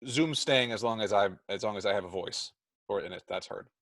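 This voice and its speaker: American guy talking in a work video call, bright forceful voice, very dry sound, kinda low, explains stuff. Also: fairly quick, no echo. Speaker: meeting leader, school technology administrator. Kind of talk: casual work meeting chat.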